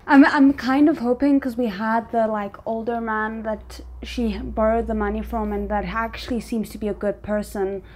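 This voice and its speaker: Female reaction host, fairly high voice, casual off-the-cuff commentary, thinking aloud. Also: fairly even intonation, normal speed, few pauses, darkish tone.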